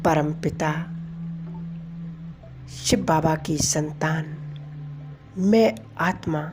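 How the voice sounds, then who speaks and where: Over clearly audible music, a woman leads a guided meditation. Her voice is low and her pace very slow. She speaks soothingly and devotionally in short, measured phrases, leaving long pauses between them. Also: a little mellow.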